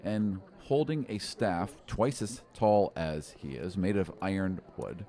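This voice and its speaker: A man's voice: low voice, a little slow, dark tone. A man in his thirties or forties narrating a tabletop game as game master in a casual, descriptive way, close on a podcast mic. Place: small indoor studio room. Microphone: close podcast microphone.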